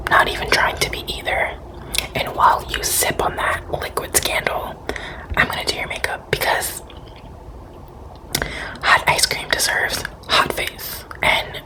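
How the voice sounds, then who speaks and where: A woman's voice: natural voice, low-quality recording. A woman doing a whispered ASMR roleplay as a flamboyant, self-important celebrity shop owner, theatrical, boastful and sassy. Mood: conspiratorial, sassy.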